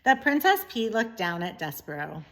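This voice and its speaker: A woman reading a children's story aloud in an expressive, deliberate way with dramatic pauses. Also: mid-range voice. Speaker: teacher reading a book aloud.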